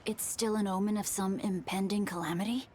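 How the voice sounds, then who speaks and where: A woman voicing a composed, elegant, curious woman in a video game, with measured speech. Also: mid-range voice, fairly even intonation, a little mellow, slight background noise, natural voice. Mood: pensive. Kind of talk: video game character acting.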